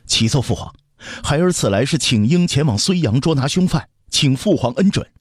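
A man's voice: medium pitch, animated, normal speed, slightly rough, no room sound, clean studio sound, voice pushed up. A man voicing a proud, stubborn eight-year-old crown prince who speaks formally and defiantly in a historical audio story. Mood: resolute, respectful.

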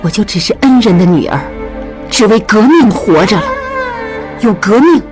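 A woman's voice: medium pitch, lively intonation, normal speed, darkish tone, soft background music, pitched above the performer's own voice, lightly acted. A woman voicing an anguished, resolute young revolutionary woman in a dramatized audiobook, emphatic and impassioned. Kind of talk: dramatized audiobook character acting.

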